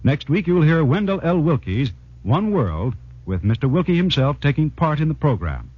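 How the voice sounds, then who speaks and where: A man with an American accent working as a network announcer, speaking formally and deliberately in 1940s radio style. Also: fairly high pitch, sing-song, husky. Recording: dull 1940s radio broadcast recording.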